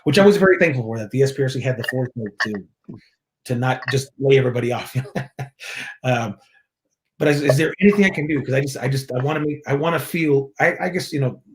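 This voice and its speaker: A man's voice: medium pitch, quick pace, slight background noise. Chatty man from Mexico, restarts often. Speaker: church worship director, guest. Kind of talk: casual interview chat.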